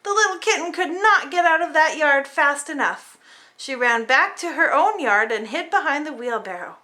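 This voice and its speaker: A woman reading a children's storybook aloud in an animated, dramatic way for small children. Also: high-pitched, normal speed. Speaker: storybook reader. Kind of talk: expressive children's read-aloud narration.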